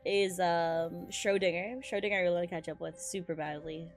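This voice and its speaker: A woman streaming a game with casual, excited commentary, slangy and sweary in an expressive way. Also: fairly low pitch, brisk, soft background music.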